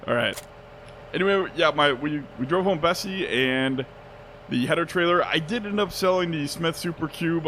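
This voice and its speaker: A young man with a drawl and a slightly hoarse, just-woken voice talks his way through a game session. He rambles easily, chuckles, and stops to correct himself mid-sentence. There is faint noise behind him. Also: medium pitch, fairly bright tone. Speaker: let's play host. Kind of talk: casual gameplay commentary. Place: home spare bedroom studio.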